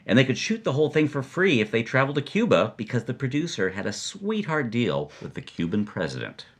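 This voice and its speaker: A man co-hosting a storytelling podcast, narrating in an animated, conversational way. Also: medium pitch, brisk, background noise.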